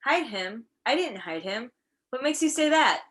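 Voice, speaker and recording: Woman doing worried small town wife in amateur play, highish voice, folksy n defensive. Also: lively intonation, unhurried, fairly bright tone, slightly rough, heavily acted. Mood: pleading, defensive. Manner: pleading, folksy. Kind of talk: amateur one-act play acting. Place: filmed on a video call.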